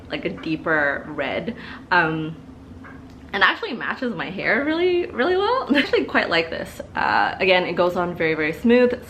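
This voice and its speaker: A woman's voice: on the low side, a bit bright, a little hiss or hum, low-quality recording. Stuffy-nosed young woman reviewing makeup. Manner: chatty, many fillers and repeated words. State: stuffy from allergies. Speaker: beauty vlogger. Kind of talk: casual product review. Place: living room, seated before a couch.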